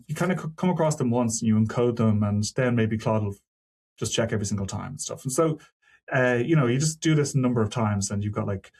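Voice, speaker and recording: A man with a medium-pitched voice talks as a technical guest in an interview. His delivery is informal and discursive. He qualifies his points and drops in asides as he explains. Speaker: podcast guest, senior software engineer. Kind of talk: casual technical interview talk.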